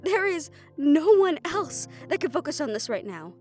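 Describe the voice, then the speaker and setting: A woman playing an overworked, sharp-tongued but caring siren-banshee sorceress, venting emotionally in a dramatic audio roleplay. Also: high-pitched, big pitch swings, moderate pace, soft background music. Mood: stressed, worried. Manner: emotional venting, sarcastic and sweary, with heavy sighs.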